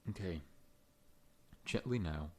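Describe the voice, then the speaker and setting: Man voicing a very deep, gentle monster dentist. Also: animated, slow, exaggerated voice. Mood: calm, professional. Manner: gentle, reassuring, professional bedside talk. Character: large, friendly, scorpion-like monster dentist with many legs. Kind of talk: ASMR roleplay.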